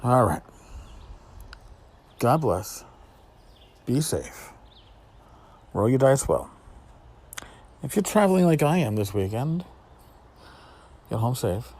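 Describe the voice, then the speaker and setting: A middle-aged man hosting a casual solo podcast, talking in a blunt, sarcastic, sweary way with emphatic repetition and pauses for effect. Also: medium pitch, big pitch swings, a little mellow. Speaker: podcast host. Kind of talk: casual spoken podcast monologue.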